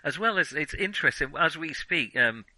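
A man's voice: fairly high pitch, expressive, moderate pace, bright, forceful tone, dry recording. A man co-hosting a casual film discussion podcast, speaking in a hesitant way and often qualifying what he says.

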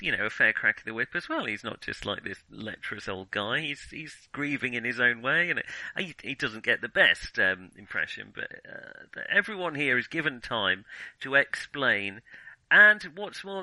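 Male podcast co-host, forceful bright tone, very dry recording, hesitant and self-correcting in casual film chat. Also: medium pitch, sing-song, normal speed, no echo, rough recording. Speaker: podcast co-host discussing films.

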